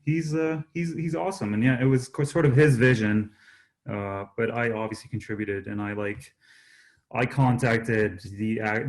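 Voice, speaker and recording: A man, a singer-songwriter guest, chatting casually in an interview in a hesitant, halting way that often repeats words. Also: fairly low pitch, a little mellow. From Jordanian-born, Canada.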